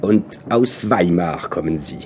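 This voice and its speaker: An actor playing a composed French general in a radio play, speaking measured, cultivated German with a slight French accent. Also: male voice, low-pitched, animated, darkish tone, slight background noise. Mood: suave, probing. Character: courtly, composed short stout French general.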